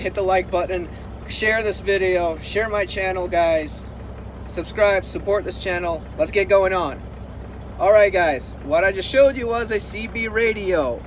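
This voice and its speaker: A man talking casually to the camera as a trucking vlogger, urging his points emphatically and repeating them. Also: sits high. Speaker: trucker and video host. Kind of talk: casual vlog talk to camera. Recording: noisy vehicle and outdoor background.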